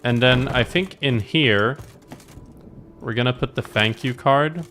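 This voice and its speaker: A man's voice: mid-range voice, unhurried, a bit bright, a little hiss or hum. Male game streamer, mid register, leisurely pace, casual upbeat commentary, muttering thoughts aloud. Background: faint game music.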